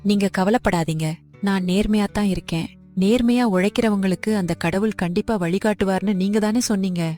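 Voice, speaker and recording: A young woman voicing an earnest, caring young weaver in an animated story, with faint music behind. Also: medium pitch, normal speed, lightly acted. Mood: reassuring.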